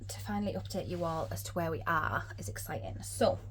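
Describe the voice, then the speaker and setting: A woman's voice: lowish voice, a little fast, a little hiss or hum. A woman from England, chatting casually through a budgeting vlog with lots of ums, sniffly from a cold. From Northeast England. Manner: chatty, many ums, upbeat. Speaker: budgeting vlogger.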